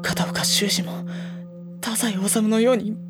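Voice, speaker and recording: An actor playing a reserved high school boy in an audio drama, narrating and talking in a polite, dry, exasperated manner. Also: fairly even intonation, takes it slowly, over loud music. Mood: dismayed.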